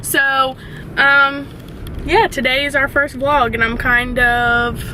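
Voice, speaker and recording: A woman's voice: high voice, little pitch movement, slow. A young woman vlogging in a casual, chatty, giggly way, filming on a handheld camera inside a car. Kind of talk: casual chat. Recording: loud road noise in a car. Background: car and traffic noise.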